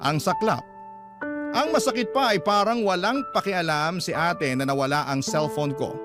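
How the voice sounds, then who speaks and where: A man's voice: a little high, moderate pace, close to an everyday voice. A man with a Filipino accent reading out a dejected schoolgirl's letter as dramatic radio narration. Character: dejected schoolgirl letter-writer telling her own story. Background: instrumental music.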